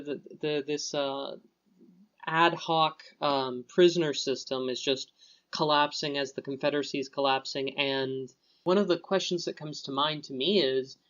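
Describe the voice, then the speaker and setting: A man with a fairly high voice hosts a podcast and puts a question to his guest. He feels his way through it with many fillers and restarts. The audio is dull and lo-fi. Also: fairly bright tone. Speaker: podcast host. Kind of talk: interview questions.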